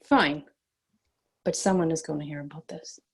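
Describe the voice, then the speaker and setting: A woman's voice: sits low, fairly even intonation, normal speed, husky. A woman playing a stubborn, prickly bounty cop in a screenplay table read, terse and combative. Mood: defiant.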